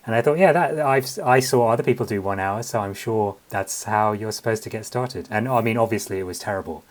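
Male guest, London accent, low-pitched voice, informal self-deprecating conversational delivery. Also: a little fast. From London, England. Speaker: guest, comedy writer. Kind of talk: casual interview chat.

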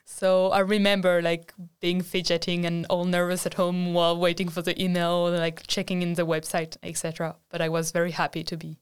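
Young woman with a low, smooth voice. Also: fairly quick, few pauses. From France. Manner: lively, animated storytelling. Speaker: student guest.

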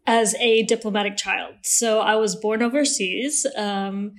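Relaxed woman guest with American accent. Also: mid-range voice, a little slow. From Malaysia. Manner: relaxed and talkative, with many ums and laughs. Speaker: podcast guest. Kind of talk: casual interview.

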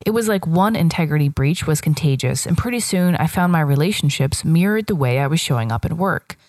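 A woman's voice: deep voice, darkish tone, no room sound, clean studio sound. A woman in her thirties hosting a solo podcast, telling a personal story in a reflective, scripted way. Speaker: podcast host.

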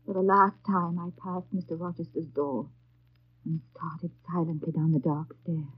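A woman's voice: low voice, little pitch movement, normal speed, husky. An actress playing a proud, earnest young woman of humble station in a theatrical 1930s radio drama. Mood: subdued, resolute. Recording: muffled vintage 1930s radio recording.

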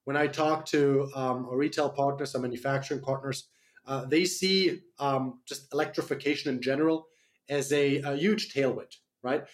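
A man's voice: medium pitch, fairly even intonation, normal speed. A man from Germany with a slight German accent, a startup CEO answering interview questions on radio, hesitant with many fillers and restarts.

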